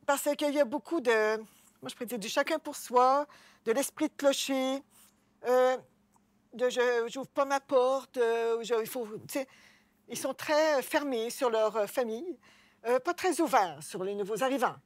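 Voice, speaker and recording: Earnest Québécois woman, higher voice. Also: a little slow, dry recording. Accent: Québécois French. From Quebec. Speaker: discussion participant. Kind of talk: personal storytelling.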